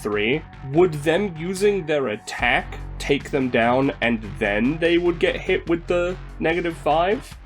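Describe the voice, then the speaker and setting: A man with a slightly high, animated voice chats casually as a player in a tabletop game. Faint music plays behind him. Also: slow pace, hardly any pauses.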